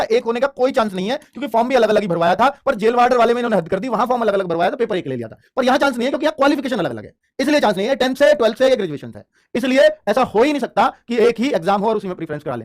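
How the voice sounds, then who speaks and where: Very fast, high-pitched male teacher. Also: very animated. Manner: emphatic, repetitive, checks in with listeners. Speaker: exam coaching teacher. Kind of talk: informal explainer lesson addressed to students.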